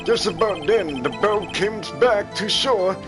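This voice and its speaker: A man voicing a forgetful, doddering old boat shop keeper in a video game, halting and vague. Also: high voice, animated, over music, pitched above the performer's own voice. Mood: rambling. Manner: halting, vague, mumbling with many ums.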